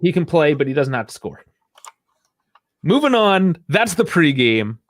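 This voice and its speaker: A man's voice: fairly high pitch, big pitch swings, a little slow, dry recording, studio quality. A man co-hosting a casual sports call-in podcast, talking in a chatty, rambling way and laughing often. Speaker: podcast co-host.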